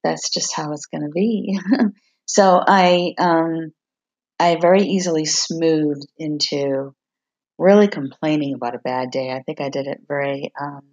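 Hoarse woman, low voice, candid reflective monologue, dull lo-fi home computer recording. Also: steady tone, unhurried, darkish tone. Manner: open, reflective, confiding. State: hoarse, losing her voice. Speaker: podcast host, singer-songwriter. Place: her home.

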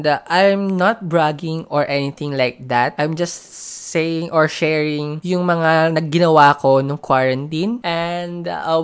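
A woman's voice: low-pitched, clean tone. A young Filipino woman with a Filipino accent, a native Tagalog speaker, hosting a casual, rambling solo podcast. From Philippines. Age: young adult. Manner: chatty, rambling, mixes Tagalog and English mid-sentence. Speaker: podcast host.

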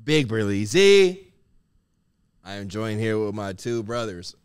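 A man's voice: lowish voice, sing-song, unhurried, clean tone, no room sound. A man in his thirties hosting a casual podcast, playful and teasing, dropping "bro" into nearly every sentence. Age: 30s. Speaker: podcast host. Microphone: studio mic on camera set.

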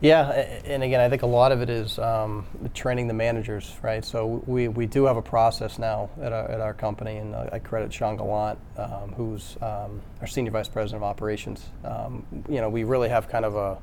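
A man's voice: lowish voice, little pitch movement, a little fast, vocal fry, few pauses, darkish tone, a little hiss or hum. A man, a construction company president, speaking candidly in a panel discussion, often ending points with a questioning tag. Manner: candid, earnest, many fillers and tag questions.

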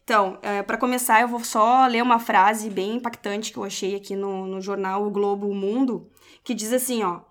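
A woman's voice: mid-range voice, fairly even intonation, moderate pace. A woman from southern Brazil, co-hosting a podcast, welcoming guests and asking them questions. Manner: upbeat, welcoming, poses questions. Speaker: podcast co-host.

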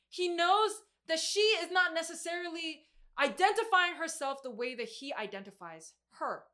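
Young woman, mid-twenties, higher pitch, crisp forceful brightness, conversational sermon delivery, informal, emphatic. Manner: informal, emphatic, with youthful slang. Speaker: preacher. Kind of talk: sermon to a group, conversational Bible exposition.

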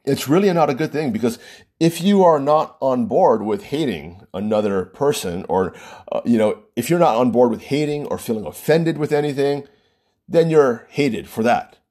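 A man talking alone in casual, opinionated commentary, often restarting sentences and checking in with "right?" and "you know". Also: moderate pace. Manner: lively pitch swings, frequent "right?" and "you know", self-interrupting restarts.

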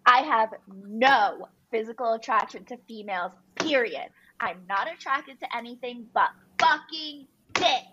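A woman's voice: fairly high pitch, animated, a little slow, hardly any pauses, sharp tone, highs cut off, slight background noise. A woman reading the part of an angry, insecure, foul-mouthed fiancée in a dramatic reading of a reality TV fight. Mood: indignant. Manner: combative, sweary, accusatory.

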